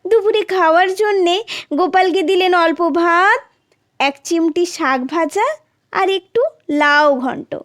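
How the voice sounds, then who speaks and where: Very high-pitched woman storyteller, unhurried. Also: fairly bright tone. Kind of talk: scripted folktale narration.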